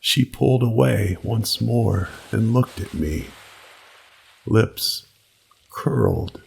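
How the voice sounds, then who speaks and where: A man narrating a scripted first-person horror story with deliberate, dramatic pauses. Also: on the low side, expressive, vocal fry, breathy edge.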